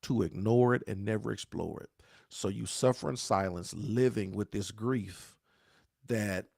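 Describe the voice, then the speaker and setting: A pastor who talks to viewers in a preachy, repeating way and asks "right?" again and again. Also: male voice, fairly low pitch, a little slow, vocal fry, darkish tone, slightly rough, dry recording, clean studio sound. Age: 40s. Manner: dialect grammar, says "y'all". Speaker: pastor hosting a grief talk show. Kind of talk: live-stream talk answering viewer questions.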